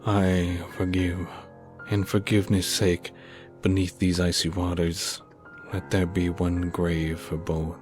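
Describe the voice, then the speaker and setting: A weary, dying old man of science, as an actor plays him, deep-voiced and slow. His delivery is grave and theatrical, bitter and resigned, in the manner of a melodramatic horror audio drama. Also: little pitch movement, darkish tone, soft background music. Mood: dying, forgiving.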